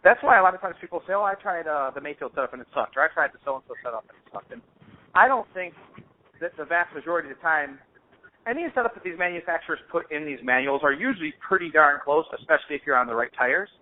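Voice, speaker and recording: A man's voice: a little high, expressive, fast talker. A man telling stories casually on a phone call in an informal, slangy, rambling way, as host of a hobby show. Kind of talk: casual phone chat, anecdotal storytelling. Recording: dull lo-fi phone-call audio.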